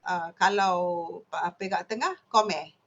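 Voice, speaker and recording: A woman's voice: medium pitch, takes it slowly, fairly bright tone. Lecturing woman w malaysian accent, lots of uhs and restarts, kinda muffled recording. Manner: frequent hesitations and self-corrections. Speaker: lecturer in linguistics. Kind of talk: academic lecture. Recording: dull lo-fi audio.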